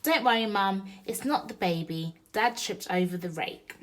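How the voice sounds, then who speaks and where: A woman voicing an excitable, reassuring young boy character in a children's storybook read-aloud. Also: mid-range voice, moderate pace, lightly acted. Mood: excited, yelling.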